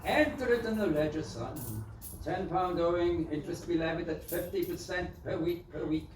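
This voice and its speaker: A man acts out a pompous, greedy landlord squire in pantomime style, blustering in a high voice that swings up and down. He is heard from far off in a noisy hall. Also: expressive, moderate pace, natural voice. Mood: pompous, demanding. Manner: blustering, pompous, hesitant mumbled asides. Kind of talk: stage pantomime acting. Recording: echoey noisy stage, recorded from far off.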